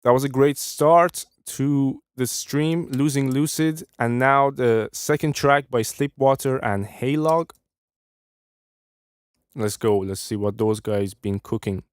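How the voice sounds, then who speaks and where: A man's voice: mid-range voice, slow pace, clean tone, no echo, studio quality. A young man from Iran, hosting a live stream and giving casual, friendly feedback on music, often thinking aloud and restarting his sentences. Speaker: host. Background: faint music playing.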